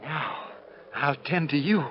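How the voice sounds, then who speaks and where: An unhinged, bitter husband in a 1930s radio melodrama. His voice is high and theatrical, sneering and agitated. The old recording is muffled. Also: slow pace, slight background noise. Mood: bitter rage. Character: unhinged, resentful, cowardly husband who turns killer.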